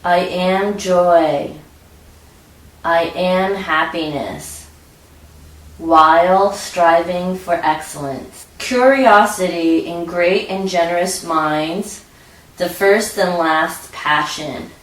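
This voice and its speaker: Woman doing guided meditation, really slow n measured, kinda low voice, echoey and far from the mic. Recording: roomy echo, distant from mic.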